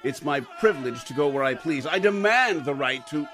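An actor playing a stuffy, entitled club-goer in a radio crime drama, speaking in a pompous, formal, insistent way. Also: male voice, on the high side, lively intonation, moderate pace, fairly bright tone. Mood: indignant. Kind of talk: old-time radio crime drama acting. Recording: noisy crowd ambience behind the voice.

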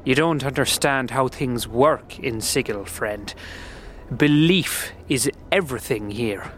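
A man playing a gentle, sorrowful, earnest old man in a fantasy audio drama, wistful in manner. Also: medium pitch, takes it slowly, slight background noise. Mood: fervent. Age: older. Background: music.

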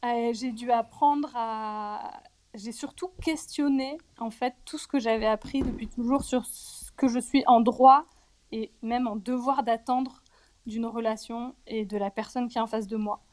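A woman in her forties speaking candidly about her personal life in an interview, reflective and hesitant, often pausing on fillers. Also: a little high, steady tone, darkish tone. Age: 40s.

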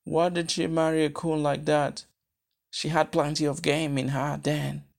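A man with a Nigerian accent voicing a pompous, blunt middle-aged gentleman in a storybook reading, emphatic and inquisitive. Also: on the high side, little pitch movement, unhurried, creaky, pitched above the performer's own voice. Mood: indignant.

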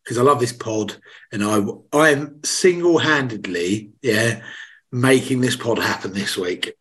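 A young man with a hoarse voice, chatting casually as a podcast co-host and telling rambling, sweary stories. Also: medium pitch, a little slow, fairly bright tone. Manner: tired, rambling storytelling with swearing. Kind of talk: casual chat.

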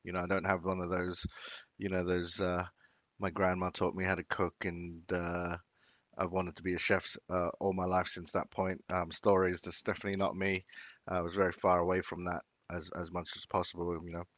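Deep-voiced man, halting. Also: completely flat delivery, fairly bright tone, no room sound. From Philippines, raised in Sydney. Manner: hesitant, many fillers and restarts. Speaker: chef. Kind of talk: casual interview.

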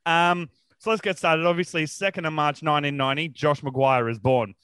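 A man's voice: a little high, fairly even intonation, moderate pace, fairly bright tone, clear, resonant tone, no room sound, studio quality. An Australian man hosting a sports podcast, interviewing in an informal, enthusiastic and matey chat style.

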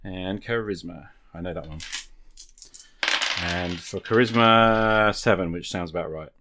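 A man's voice: low voice, a little slow, background noise. A man hosting a podcast, chatting in a casual, off-the-cuff way. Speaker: podcast host and player.

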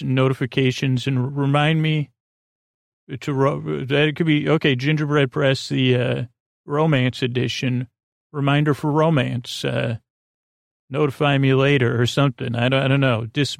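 A middle-aged man with a creaky voice hosting a bedtime podcast, rambling in a silly, halting way and drifting off mid-thought. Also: medium pitch, flat delivery, dry recording, clean studio sound. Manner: meandering, halting, repeats and trails off mid-thought; silly, whimsical. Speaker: podcast host.

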